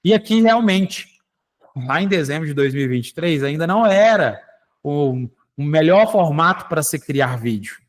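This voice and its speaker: A man hosts and demonstrates software step by step. His voice sits a little high for a man. He talks to his audience directly, punctuating sentences with quick check-ins. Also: expressive. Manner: explanatory, addresses listeners directly with frequent "tá?" and "né?". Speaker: radio show host.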